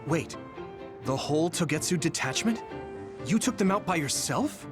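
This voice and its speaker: An actor voicing an earnest, expressive young military recruit in an English-dubbed anime game. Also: male voice, on the high side, moderate pace, over music, lightly acted. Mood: startled.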